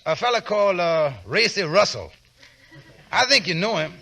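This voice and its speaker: A man acting a friendly, sensible, plain-spoken married fellow with a creaky voice in 1940s radio comedy. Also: high-pitched, animated, normal speed, sharp tone, full character voice. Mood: earnest, helpful. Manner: folksy, plain-spoken, helpful. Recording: 1940s radio broadcast recording.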